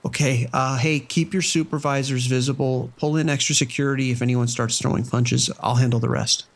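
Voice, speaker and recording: An actor playing a stressed casino security boss taking charge in a horror audio drama. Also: male voice, medium pitch, fairly even intonation, brisk, natural voice. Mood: tense, commanding.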